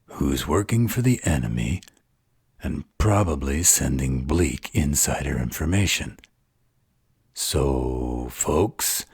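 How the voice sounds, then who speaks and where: Man acting a gruff sardonic supernatural tough guy, extremely deep, very husky, distrustful, audio drama. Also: darkish tone, dry recording, studio quality, full character voice. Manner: sardonic, drawling, mocking.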